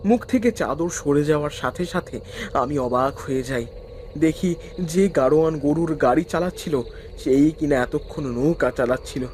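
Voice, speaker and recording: A young man tells a cartoon ghost story in the first person, calm and steady, with his voice sitting a little high. A cricket chirps faintly behind him. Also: normal speed, slight background noise. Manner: terrified pleading in the scene, calm when narrating. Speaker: narrator and young traveler.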